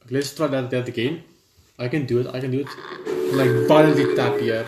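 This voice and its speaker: A man's voice: medium pitch, steady tone, a little fast, shouting, heavy background noise. A man playing a game on video, commenting and reading the story text aloud, tripping over words now and then. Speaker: gaming video host. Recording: roomy echo, faint game music.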